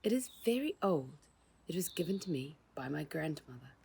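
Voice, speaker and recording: A woman reader acts out an elegant storybook lady, gracious and chatty. She speaks with genteel, gushing warmth in a husky, slightly creaky voice. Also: low voice, very animated, normal speed, full character voice.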